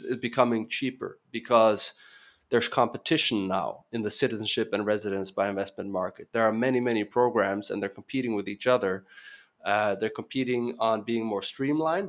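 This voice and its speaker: A man speaking as a podcast guest, explaining his views in casual talk with lots of fillers and restarts. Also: medium pitch. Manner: earnest, explanatory, uses many fillers and restarts.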